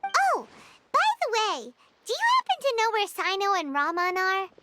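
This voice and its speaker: A tiny, chirpy fairy sidekick from an anime game. The voice is extremely high, squeaky and childlike, swooping around excitedly over heavy background noise. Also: female voice, moderate pace, full character voice. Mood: chirpy, eager. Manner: exclamatory, speaks of self in third person. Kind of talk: English anime game dub acting.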